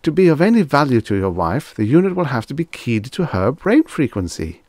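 A man's voice: mid-range voice, big pitch swings, moderate pace, a little mellow, dry recording, voice pushed up. A man voicing a fussy, deferential salesman in an audiobook story, anxious to please and formally wordy. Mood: frightened, pleading. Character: eager, anxious, fussy salesman clerk, deferential and long-winded.

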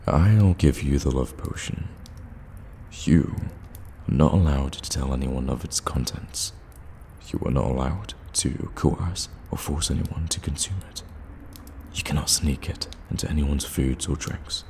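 A man playing an evil, sadistic warlock in an ASMR fantasy roleplay, teasing and menacing, often slipping into a breathy whisper. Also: a bass voice, a little hiss or hum, full character voice. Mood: teasing, scheming. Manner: slow, teasing, drawn-out hums and dark chuckles.

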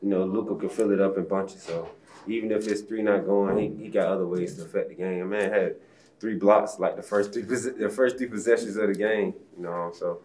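Low-voiced laid-back basketball player. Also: male voice, fairly even intonation, brisk, a little mellow. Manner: laid-back, casual, lots of "you know". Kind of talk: post-game press conference. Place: press room backdrop, indoors.

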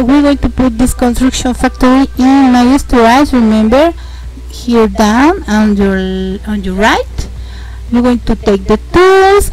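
A young woman with a Mexican accent, a host narrating a casual game tutorial, halting and repeating words as she explains. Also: fairly high pitch, poor audio. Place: home, indoors.

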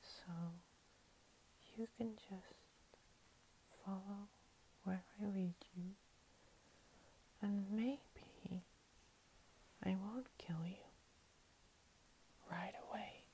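A woman voicing a playful, menacing vampire cat-girl princess in a soft, breathy ASMR whisper, teasing and condescending. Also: mid-range voice, rough recording. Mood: teasing menace. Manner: slow, teasing, condescending, with lingering pauses. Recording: steady hiss.

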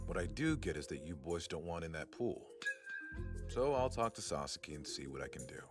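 A man voicing a flippant, exasperated high school English teacher in an anime fan dub, casual and wry. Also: very animated, normal speed, music in the background.

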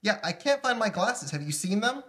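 A man's voice: high voice, sing-song, brisk, pushed and bright, pitched above the performer's own voice, heavily acted. A young man reading the part of an annoyed, sarcastic, put-upon brother in a comedy script. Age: young adult. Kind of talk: comedy table read.

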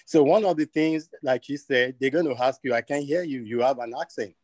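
A Nigerian man with a Nigerian accent, a webinar panelist sharing friendly, lively advice over a video call. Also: mid-range voice, a little fast, no echo.

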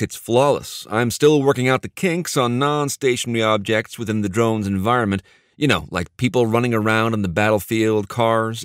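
A man voicing a cocky, excitable male tech whiz in an audiobook, with a theatrical, teasing manner. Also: mid-range voice, no echo, clean studio sound, close to an everyday voice. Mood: excited, proud.

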